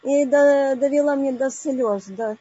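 A woman in her forties calling in to a live online session, telling a personal story haltingly with many broken-off words. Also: sits high, dark tone, highs cut off. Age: 40s. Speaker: caller.

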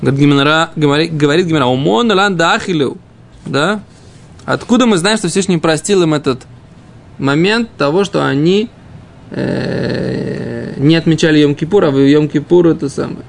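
A man teaching an informal Talmud lesson, reading the text and explaining it, haltingly and with repeated phrases. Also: fairly high pitch, vocal fry.

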